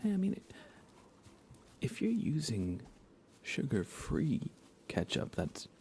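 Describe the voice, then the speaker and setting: An American man speaking in soft, breathy whispers, chatting casually and unhurriedly to viewers of an ASMR livestream. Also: mid-range voice, wildly swooping pitch, a little hiss or hum. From United States. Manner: hushed, unhurried, conversational.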